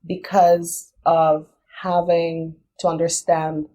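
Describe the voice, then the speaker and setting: A woman's voice: fairly low pitch, little pitch movement, slow pace, warm tone. A young adult woman and business founder, a podcast guest, explaining things step by step in a thoughtful, casual way. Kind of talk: casual interview.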